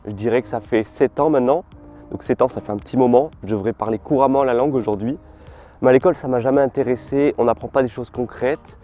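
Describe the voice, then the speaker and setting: A man's voice: medium pitch, fast. Young French man vlogging in a park. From France. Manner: relaxed, chatty, informal slang. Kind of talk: casual vlog talk to camera. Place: outdoors in a city park. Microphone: camera mic, filmed without full gear.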